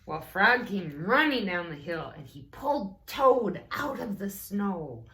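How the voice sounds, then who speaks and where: A woman reading a story aloud to young children in an unhurried, expressive way, with casual questioning asides. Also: medium pitch, slight background noise. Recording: roomy echo.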